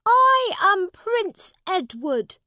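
A woman reading a storybook voices a proud young boy prince who shouts and pleads in a high, strained voice. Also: very animated, extremely slow, dry recording, clean studio sound, exaggerated voice. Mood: commanding. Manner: shouting, high-pitched, tearful at times.

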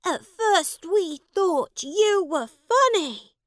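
A woman reading a storybook voices a group of schoolboys who mock and shout in a loud, high voice. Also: animated, very slow, no echo, studio quality, full character voice. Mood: jeering. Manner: jeering, loud.

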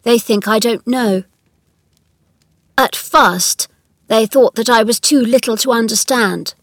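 A woman's voice: on the high side, slow, no room sound, clean studio sound, voice pushed up. Woman voicing a fretful, imperious sickly young boy. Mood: imperious, bitter. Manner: demanding, self-pitying. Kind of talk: children's storybook narration.

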